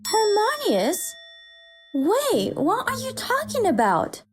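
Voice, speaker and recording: Exasperated high-voiced wife in a manga dub, acted by a woman. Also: huge pitch swings, takes it slowly, soft background music, exaggerated voice. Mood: indignant. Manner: exasperated, firm, articulate. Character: indignant, fed-up working wife and mother, firm. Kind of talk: manga dub acting and first-person story narration.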